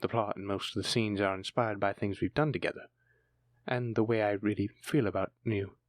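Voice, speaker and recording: A man's voice: fairly low pitch, fairly even intonation, fairly quick, dry recording, clean studio sound, close to an everyday voice. A man playing a bashful, bookish dragon lover in a romantic fantasy audio roleplay, stammering tenderly as he speaks to his sweetheart. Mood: shy, confessional.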